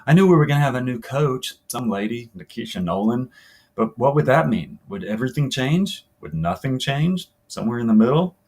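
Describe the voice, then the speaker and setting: A man voicing an unimpressed soccer player looking back on low expectations, in a casual, wry interview monologue from a novel. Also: lively intonation, a little fast, a little mellow. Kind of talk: reading an oral-history novel as interview monologue.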